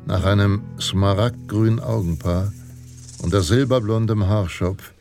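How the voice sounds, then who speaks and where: Slow, low-voiced Western narrator over guitar. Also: male voice. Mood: solemn, admiring. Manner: grave, heroic, deliberate. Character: solemn narrator of a Western hero fantasy. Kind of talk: dramatic scripted narration, radio play. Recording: loud guitar music underneath. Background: Western guitar music.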